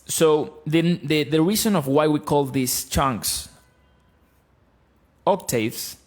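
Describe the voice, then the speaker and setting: Guitar teacher, thirties, Argentine-accented male, blunt and lively, close mic in a small home room. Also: on the high side, slow. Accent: Argentine Spanish. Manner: blunt, animated, explaining. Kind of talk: live-streamed guitar lesson. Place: small room with bookshelves, indoors. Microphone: studio mic on stand, close.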